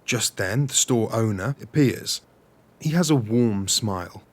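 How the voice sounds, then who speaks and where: An actor playing an earnest director at a table read, narrating a script in an audio comedy. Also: male voice, lowish voice, animated, measured pace.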